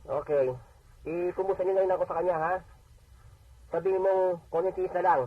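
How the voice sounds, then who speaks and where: A slightly high, husky male voice plays a friendly agency staffer in a radio drama. He talks in a sympathetic, conversational way. The sound is dull, like an old AM radio playback. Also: steady tone, brisk, dry recording. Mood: sympathetic, matter-of-fact.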